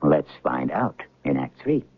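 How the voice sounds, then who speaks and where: A man hosting and narrating a 1970s radio drama, theatrical and wry, posing rhetorical questions with dramatic pauses. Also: extremely low pitch, sing-song, slightly rough, dry recording, narrow, tinny audio. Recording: thin vintage radio broadcast sound. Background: music.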